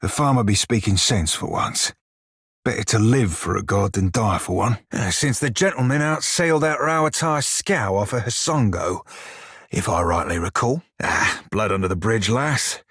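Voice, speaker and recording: Salty husky pirate rogue for a video game, drawly sailor dialect, wry n bawdy, dry close sound. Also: male voice, on the low side, lively intonation, moderate pace, a bit bright, no echo, exaggerated voice. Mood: wry, teasing. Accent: stylised pirate dialect. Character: furry blue male pirate, roguish, salty and bawdy. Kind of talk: video game character dialogue.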